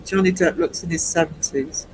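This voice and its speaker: A man in his sixties with a fairly high voice, hosting a livestream in a loose, chatty way. He reads out viewer comments, teases people and laughs often. A faint hiss sits under his voice. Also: fairly even intonation, normal speed, rough recording. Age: 60s. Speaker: livestream host. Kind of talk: casual chat reading viewer comments.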